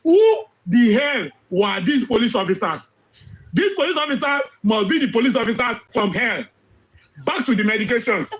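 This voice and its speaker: Man, very high-pitched voice, emphatic commentator with rhetorical questions. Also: very animated, normal speed, fairly bright tone. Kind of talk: explanatory commentary.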